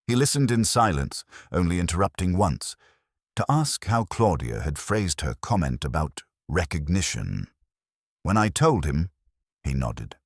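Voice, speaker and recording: A man's voice: low-pitched, big pitch swings, measured pace, no room sound, clean studio sound. A man reading a scripted first-person detective story as an audiobook narrator.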